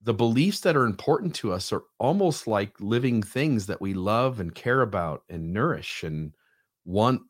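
A man's voice: fairly low pitch, animated, normal speed. A man hosting a casual podcast chat, talking in a loose, conversational way with frequent restarts. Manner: conversational, restarts, quotes others animatedly.

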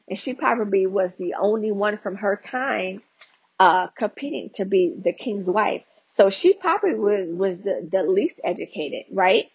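A woman's voice: medium pitch, husky, no echo, dull audio. A woman podcast host giving a conversational devotional teaching, talking straight to her listeners with frequent "you know" and "right?" asides.

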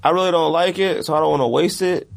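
A man's voice: fairly high pitch, fast talker, creaky. A man with an American accent co-hosting a sneaker podcast, chatting casually in a slangy, playful, joking way. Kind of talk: casual banter about sneakers.

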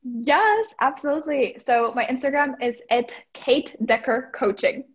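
A woman with a Czech accent, a life coach and podcast guest, speaking excitedly and laughing often. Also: high-pitched, a little slow, breathy edge, lo-fi sound. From Czech Republic. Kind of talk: podcast interview.

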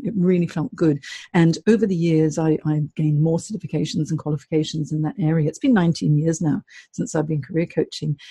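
Chatty woman with a mellow, husky voice. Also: deep voice, dry recording. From Hong Kong. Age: middle-aged. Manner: chatty, animated, laughs often. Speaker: career coach. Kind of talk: interview guest telling her story.

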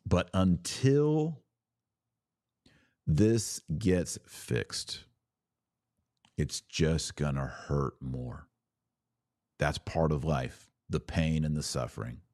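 A man's voice: very deep voice, lively intonation, drawn-out words, long pauses, darkish tone, no room sound, clean studio sound. An American man speaking as a podcast host, giving earnest, emphatic religious commentary that sits between a sermon and live-stream chat. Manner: earnest, emphatic, builds lists phrase by phrase.

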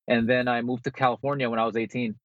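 Fast-talking man on a dull remote call. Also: mid-range voice, level tone, fairly bright tone, dry recording. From born in the Philippines, grew up in New Jersey. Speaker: interview guest, motivational speaker and author. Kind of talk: conversational motivational interview.

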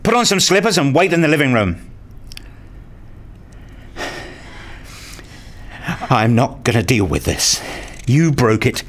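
A man acting as a wounded, self-justifying father in a radio play, speaking in an introspective, deliberate way. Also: mid-range voice, very animated, slight background noise, lightly acted. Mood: tense, angry. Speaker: narrator and lead actor in a radio play. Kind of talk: radio play narration and dialogue.

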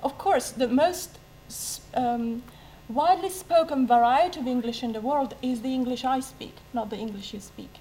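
A woman with an Italian accent, a university language teacher speaking on a debate panel, lively and self-mocking with many restarts. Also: sits high, moderate pace, a little hiss or hum, low-quality recording. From Italy. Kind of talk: spontaneous panel debate answer.

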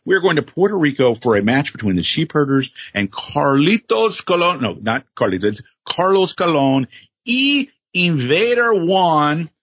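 Animated husky man hosting a podcast. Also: a little high, moderate pace, no room sound, highs cut off. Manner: animated, jokey, many fillers and self-corrections. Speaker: podcast co-host. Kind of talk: casual wrestling podcast chat.

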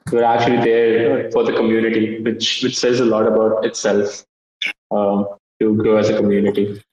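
A man hosting a casual online chat for his project team, laid-back and halting as he talks. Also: on the low side, completely flat delivery, a little mellow. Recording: very echoey, dull lo-fi sound.

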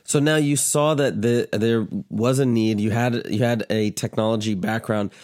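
A man's voice: fairly low pitch, fairly even intonation, moderate pace, darkish tone. A man hosting an interview podcast, upbeat and chatty, with a stuffy, congested voice. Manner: upbeat, asks loose rambling questions. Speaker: podcast host. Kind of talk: casual interview chat.

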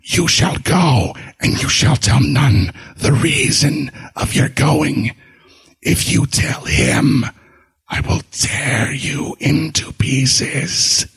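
A man reading a storybook, voicing a vengeful female ghost with a slow, menacing, hissing whisper. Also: medium pitch, vocal fry, a bit bright, husky, heavily acted.

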